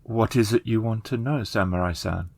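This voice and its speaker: Man playing a low-voiced, grieving village woman. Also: normal speed, creaky. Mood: quietly defiant. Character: grieving, stubborn young village woman, polite but firm.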